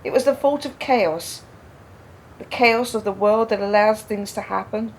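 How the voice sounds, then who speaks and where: A woman's voice: medium pitch, a little slow, vocal fry, a little hiss or hum, poor audio. An actress playing a frank, wry, grieving woman in a naturalistic radio drama, speaking reflectively and repeating phrases for emphasis. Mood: insistent, troubled. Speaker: radio play actor.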